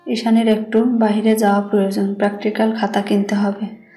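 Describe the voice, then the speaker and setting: A woman with a Bangladeshi accent narrates a romantic story in a level, even tone. Her pitch sits in the middle and moves little. Soft music plays behind her voice. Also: fairly quick, slightly rough.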